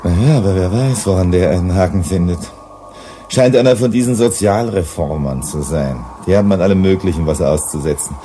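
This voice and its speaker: Hard-nosed company chief for a sci-fi radio play, male actor: deep, gruff, dismissive. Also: a little fast, warm tone, a little hiss or hum, natural voice. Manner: gruff, dismissive, self-assured. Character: blunt hard-nosed mining company boss on Venus. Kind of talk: German radio play acting.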